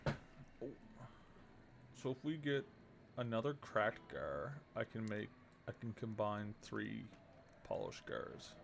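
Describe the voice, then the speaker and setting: A man's voice: lowish voice, big pitch swings, takes it slowly, creaky, a little hiss or hum. A man streaming a video game, giving casual live commentary as he thinks aloud and mutters to himself.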